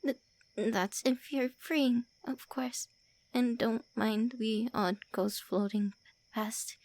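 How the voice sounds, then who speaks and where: A woman playing a flustered, gravelly tsundere vampire. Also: on the high side, fairly even intonation, slow, a little mellow. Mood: flustered teasing. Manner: whispery, stammering, brusque teasing. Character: tsundere female vampire lord, centuries-old, looks early twenties, flustered, insulting. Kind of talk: ASMR roleplay.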